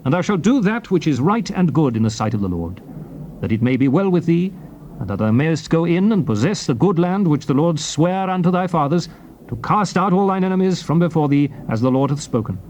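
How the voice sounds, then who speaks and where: A man giving a solemn, dramatized reading of King James scripture, declaiming it in deliberate phrases. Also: fairly high pitch, big pitch swings, a little mellow. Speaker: scripture reader. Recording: noisy old cassette tape recording.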